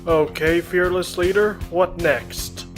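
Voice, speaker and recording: A woman's voice: on the low side, steady tone, slow pace, music in the background. A woman voicing a brash, sarcastic young hero in a cartoon parody dub, mouthy in manner.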